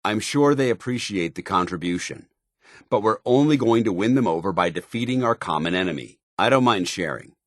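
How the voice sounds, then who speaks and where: A low, dry-recorded male voice for a zealous armored paladin in a video game. He is a stern, loyal soldier with clipped, commanding delivery. His pitch moves widely as he talks like a disciplined military companion. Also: animated, brisk, dry recording. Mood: calm, dutiful.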